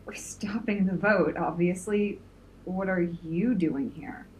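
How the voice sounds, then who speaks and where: A woman playing a commanding young supervillain in an audio drama, formal and deliberate. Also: on the low side. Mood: defiant. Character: commanding, coolly threatening young supervillain leader. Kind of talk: audio drama villain acting. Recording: faint noise and room ambience.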